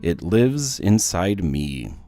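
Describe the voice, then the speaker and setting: A man's voice: low voice, big pitch swings, slow pace, mellow, faint music behind, full character voice. Man reading as a determined, hopeful little boy. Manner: earnest, self-encouraging. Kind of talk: children's storybook dialogue.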